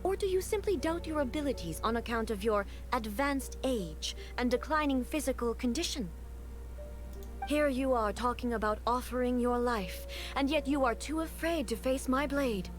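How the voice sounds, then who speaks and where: An imperious female ruler speaks with cold, formal precision in a game cutscene. Her voice sits a little high, and faint music plays underneath. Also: expressive, normal speed. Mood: commanding. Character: stern regal female ruler, cold, commanding. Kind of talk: video game dialogue.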